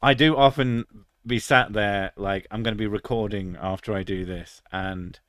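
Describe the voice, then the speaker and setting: A man's voice: lowish voice, steady tone, normal speed, a bit bright, no echo, studio quality. A British man in his thirties chatting casually on a stream, crude and sarcastic, swearing freely. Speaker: streamer reading chat. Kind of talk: casual live stream chat.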